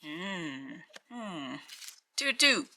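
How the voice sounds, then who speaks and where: A woman with a croaky morning voice, casually talking to herself while unboxing at a tabletop and reading out numbers. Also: lowish voice, sing-song, pushed and bright, slight background noise. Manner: slow, musing, reads out weights. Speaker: channel host opening card packs. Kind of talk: casual hands-only unboxing commentary. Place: indoors at a tabletop.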